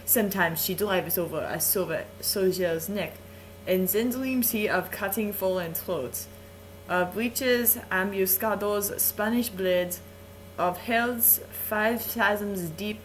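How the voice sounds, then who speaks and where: A woman playing a slow, flirtatious Frenchman. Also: mid-range voice, a little hiss or hum, natural voice, poor audio. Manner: slow, lingering, suggestive delivery with chuckles. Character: flirtatious vain adult Frenchman, seductive storyteller. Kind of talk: playful dramatic reading of verse.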